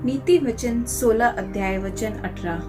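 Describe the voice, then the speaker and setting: A woman with an Indian accent reads scripture in a fairly high voice. Her delivery is slow and measured, and music plays clearly behind her. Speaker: pastor reading scripture.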